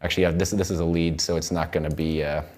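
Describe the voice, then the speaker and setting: Low-voiced man giving an informal tutorial. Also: little pitch movement, fast, a little mellow. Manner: loose, rambling, thinking aloud while working. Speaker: music production instructor. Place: indoor recording studio.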